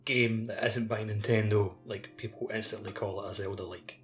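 Fairly low-voiced man in casual chat. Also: steady tone, moderate pace, soft background music. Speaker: podcast co-host.

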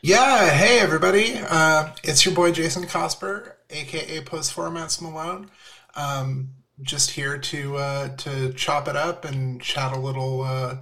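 Man, bright voice, laid-back, slangy, casual guest. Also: a little high, fairly even intonation, unhurried. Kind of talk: casual panel chat.

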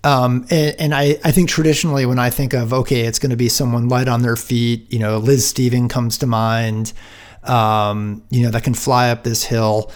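A man's voice: mid-range voice, little pitch movement, normal speed. A man hosting a podcast, asking long, searching questions full of fillers. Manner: thinks aloud with many fillers. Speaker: podcast host. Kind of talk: interviewing on a podcast.

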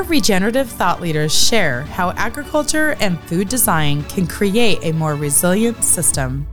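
A woman, speaking as a podcast host introducing and interviewing. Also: mid-range voice.

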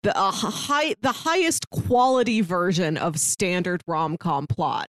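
A woman's voice: lowish voice, unhurried, dry recording, studio quality. A woman co-hosting a podcast, giving articulate, opinionated takes in a casual film review chat. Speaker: podcast co-host.

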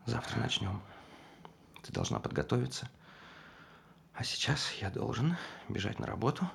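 An actor playing an excitable, scheming husband who boasts and repeats himself in a stage play. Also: male voice, on the low side, moderate pace, gravelly, a little hiss or hum, full character voice. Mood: playful. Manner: excited, repeats himself, bursts into loud exclamations.

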